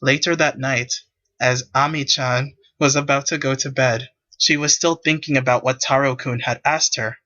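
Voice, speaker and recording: A man's voice: mid-range voice, steady tone, moderate pace, bright, forceful tone, highs cut off. A man reading scripted story narration for a visual novel.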